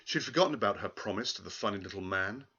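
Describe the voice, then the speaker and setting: A man's voice: lowish voice, animated, quick pace, bright, forceful tone, breathy edge. A man reading a children's story aloud in a dramatic, emphatic way, on a dull, lo-fi recording.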